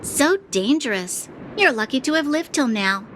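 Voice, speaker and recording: A woman's voice: high-pitched, lively intonation, normal speed, background noise. A woman playing an earnest, dutiful young noblewoman in a game cutscene, speaking in a proper, formal and serious manner. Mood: disapproving. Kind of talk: English-dubbed game cutscene acting.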